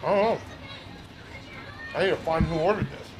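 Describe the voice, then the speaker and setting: A man hosting a sports betting show who talks casually and teases his coworkers loudly in an office. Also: a little high, normal speed, rough recording. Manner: loud and teasing, ribbing coworkers.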